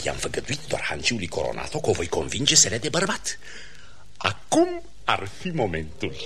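An actor playing a pompous, meddling count, easily offended and haughty, in a theatrical radio comedy style. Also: male voice, fairly low pitch, wildly swooping pitch. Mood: musing, self-satisfied.

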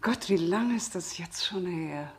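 A woman's voice: lowish voice, animated, unhurried, darkish tone, a little hiss or hum. An actress playing a worldly middle-aged society lady in a 1960s German radio drama, playfully ironic and inquisitive. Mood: teasing, inquisitive.

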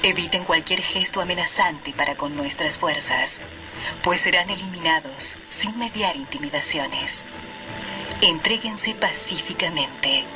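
An actress playing a monotone, formal radio announcer reading an official emergency bulletin in a radio drama. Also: female voice, deep voice, unhurried, music in the background.

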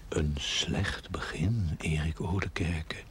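An actor playing a sardonic, scolding inner voice or guardian angel in a seventies television drama, with a whispery, breathy delivery. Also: male voice, extremely low pitch, fairly even intonation, slow, slight background noise. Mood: reproachful. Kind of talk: 1970s television drama voice-over.

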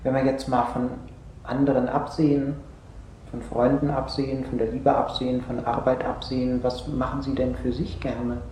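An actor plays a male doctor of therapy in a radio drama. He speaks with a soft, dark-edged tone and probes in careful, halting phrases. The room rings slightly around him, over a faint layer of noise. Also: mid-range voice, little pitch movement, natural voice. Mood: probing, measured. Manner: probing, halting questions with small acknowledgements. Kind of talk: naturalistic radio drama dialogue. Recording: roomy sound with faint noise.

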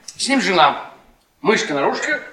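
Bitter sardonic husband, middle-aged, high voice, taunting, far off in an echoey hall. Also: male voice, very animated, normal speed, a crowd in the background. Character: bitter, mocking middle-aged husband, cruel witty storyteller. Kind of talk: Russian stage-style radio drama acting. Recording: echoey theatre, distant mic, audience noise.

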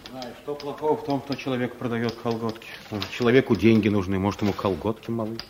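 An actor playing a timid, shy office clerk who talks mildly and runs himself down, in a 1970s radio comedy. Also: male voice, mid-range voice, steady tone, fast talker, background noise, close to an everyday voice. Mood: meek. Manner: timid, mild, self-deprecating. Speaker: statistician.

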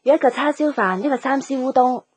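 An actress playing a brisk, practical young office colleague in a Cantonese radio drama, with a Hong Kong accent. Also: female voice, high-pitched, darkish tone, slightly rough. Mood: brisk, helpful.

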